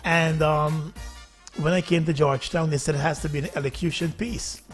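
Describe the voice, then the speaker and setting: A Guyanese man hosting a casual morning radio show, chatty and quick to laugh, with rambling asides. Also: fairly high pitch, fairly even intonation, soft background music. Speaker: radio host.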